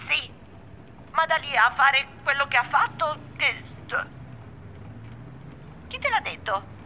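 An actress playing a rattled, defensive woman on a phone call in a radio drama, talking in a halting, repetitive, evasive way. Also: high-pitched, very animated, sharp tone, slight background noise, heavily acted. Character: rattled, defensive woman gossiping about someone close.

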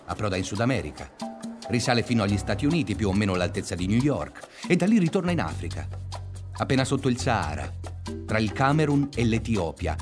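A low male voice as a reflective schoolteacher in a dreamlike radio play. He confesses in deliberate, measured phrases with lively, swinging intonation, over faint music. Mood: measured, intent. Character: pedantic, confessional male schoolteacher who reveres maps. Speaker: narrator. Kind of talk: dreamlike radio drama monologue.

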